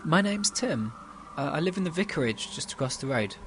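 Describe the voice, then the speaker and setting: Young guy acting a polite helpful village lad, bit hesitant, lively highish voice, faint noise, radio play. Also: brisk. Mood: friendly, doubtful. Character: friendly, polite young vicar's son, helpful but sceptical. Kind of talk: amateur radio drama acting.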